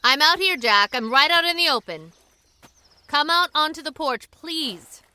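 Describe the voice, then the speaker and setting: A woman's voice: high-pitched, animated, a little slow, pushed and bright, heavily acted. A woman acting in a radio drama as a feisty, plain-spoken woman who pleads earnestly and urgently. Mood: urgent, calling out.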